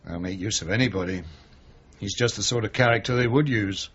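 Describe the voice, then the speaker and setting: An actor playing a gruff, blunt, middle-aged chief inspector in a radio crime drama, weary and disgruntled, clipped in his speech. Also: lowish voice, fairly quick, fairly bright tone. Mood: matter-of-fact. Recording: muffled 1980s radio drama recording.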